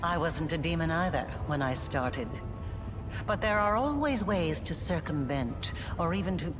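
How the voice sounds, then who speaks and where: A woman voicing a proud, scholarly demon sorceress in a fantasy video game, speaking in a measured, formal, detached way. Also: low voice, expressive. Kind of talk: scripted fantasy game voice acting. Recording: background music under voice.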